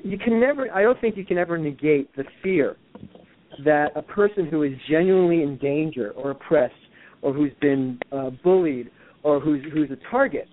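A man's voice: a little high, normal speed. A man in his fifties from New York, hosting a casual discussion and talking earnestly, often searching for his words. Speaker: host. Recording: tinny phone-like line with faint noise.

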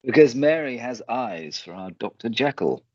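A man acting a genial, sociable Victorian gentleman host, proud of his table, in a radio play. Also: medium pitch, sing-song, moderate pace, no echo, highs cut off. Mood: teasing.

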